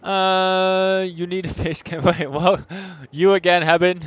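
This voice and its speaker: A young man from the Netherlands with a Dutch accent, chatting casually as he streams a game, answering chat and thinking out loud. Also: high-pitched, steady tone, slow, no echo, lo-fi sound. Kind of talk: live gameplay commentary, reading chat.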